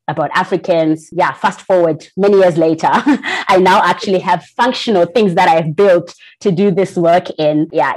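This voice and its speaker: A woman, a podcast guest talking in a lively way, often laughing and saying "like" and "right?". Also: low-pitched. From Zimbabwe. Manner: animated storyteller, laughs often, frequent "like" and "right?". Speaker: guest, employee group founder. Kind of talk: casual podcast interview.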